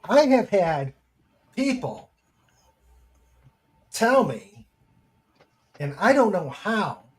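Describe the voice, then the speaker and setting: A man's voice: high voice, big pitch swings, extremely slow. A man from the American Midwest hosting a casual podcast chat, playful and laughing often. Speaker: podcast host.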